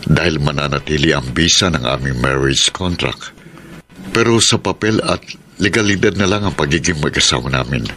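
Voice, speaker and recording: Over a noisy radio drama recording comes an earnest family patriarch. His voice is extremely deep, and he speaks in a measured, tender, conciliatory way. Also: sharp tone. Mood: tender, reassuring. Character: gentle, earnest husband and father, conciliatory but firm. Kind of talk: Filipino radio drama acting.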